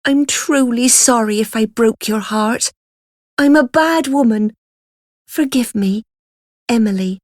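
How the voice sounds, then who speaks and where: A woman's voice: a little high, takes it slowly, pauses often, no echo, studio quality. A woman voicing a sorrowful young runaway in an audiobook novel, pleading and apologetic. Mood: remorseful, pleading.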